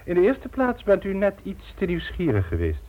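An actor playing a megalomaniac, smugly polite criminal mastermind in a radio drama, making grandiose, condescending speeches. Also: male voice, high voice, wildly swooping pitch, normal speed, exaggerated voice. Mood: coolly menacing. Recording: dull lo-fi old radio recording.

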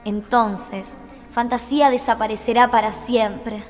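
A woman playing a gentle, solemn young empress of a fantasy realm in a radio drama. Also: fairly high pitch, fairly even intonation, unhurried, soft background music, lightly acted. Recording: long hall reverb on studio recording.

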